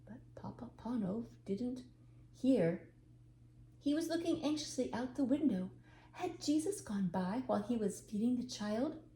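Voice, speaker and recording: Mellow older woman reading a story. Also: a little high, expressive, a little slow. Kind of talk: expressive storybook narration. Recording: echoey room, faint background noise.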